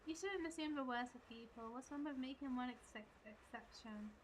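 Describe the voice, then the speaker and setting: A woman's voice: sits high, normal speed, a little hiss or hum. A woman streamer giving casual commentary and reading lines aloud during a video game live stream.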